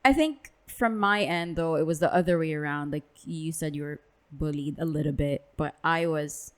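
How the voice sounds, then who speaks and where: A woman's voice: low-pitched, normal speed, smooth. A young woman from Dubai co-hosting a casual podcast, chatting and telling stories in quoted voices. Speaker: podcast co-host.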